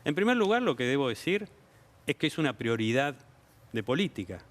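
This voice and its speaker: A male official with an Argentine accent, answering TV interview questions. He explains policy patiently and backs it with figures. His voice is of ordinary male pitch. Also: sing-song, brisk. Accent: Argentine Spanish. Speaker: government official. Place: TV studio.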